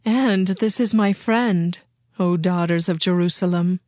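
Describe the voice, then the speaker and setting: A woman's voice: lowish voice, a little slow, darkish tone, dry recording, studio quality, lightly acted. A woman playing a lovesick young bride in a dramatized scripture reading, speaking in a yearning, poetic way with music under her. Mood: tender adoration.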